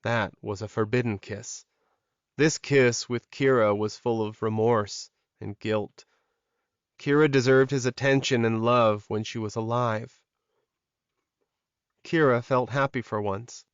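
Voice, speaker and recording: A man's voice: medium pitch, steady tone, a little slow, clean tone, no echo, lo-fi sound. A man narrating a scripted, dramatic fantasy romance audiobook.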